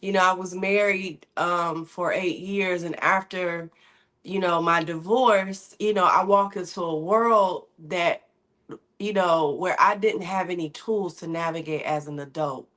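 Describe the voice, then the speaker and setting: A woman's voice: fairly low pitch, steady tone, unhurried, a bit bright. A woman from Michigan, talking candidly as a guest in a casual interview, slipping into slang. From Michigan, USA. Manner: candid, conversational, drops into slang. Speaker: guest, singer-songwriter.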